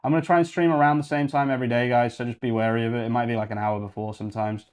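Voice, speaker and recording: A man's voice: medium pitch, steady tone, rapid-fire, clear, resonant tone. A young man from Yorkshire, chatting casually and thinking aloud while hosting a coding livestream. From Yorkshire, England. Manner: rambling, thinking aloud while clicking around.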